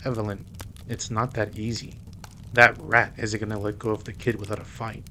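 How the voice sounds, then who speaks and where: A man playing a suave, playful mafia boss in an audio roleplay drama, with a teasing, smooth manner. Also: fairly low pitch, flat delivery, moderate pace, sharp tone, slight background noise. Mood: serious, measured. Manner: teasing, smooth, code-switches into Spanish.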